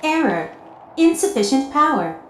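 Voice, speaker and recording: Echoing high computer announcer. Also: female voice, sing-song, unhurried. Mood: impersonal. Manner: formal, clipped announcements. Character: impersonal female automated computer system announcer. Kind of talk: video game system announcement.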